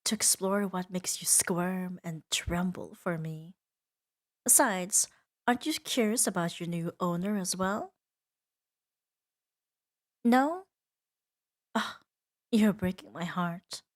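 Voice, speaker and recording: A woman voicing a seductive, possessive female demon in an intimate ASMR roleplay, teasing and soothing with soft dominance. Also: on the low side, slow, long pauses. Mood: coaxing, possessive. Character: seductive, possessive female demon doting on her new pet.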